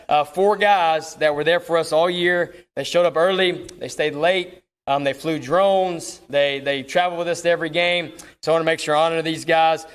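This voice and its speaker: A man's voice: a little high, clear, resonant tone. A man with a Texan accent giving an informal, folksy awards ceremony speech as a football coach, often repeating his words. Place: speaking to an audience at a school awards ceremony.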